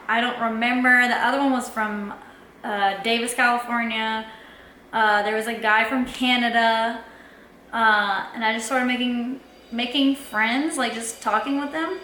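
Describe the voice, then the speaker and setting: Bright, chatty woman in an echoey room. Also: on the high side. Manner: chatty, animated, lots of "like", acts out her own past lines. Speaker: vlogger telling a personal story. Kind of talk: casual storytime. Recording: echoey room with faint background noise.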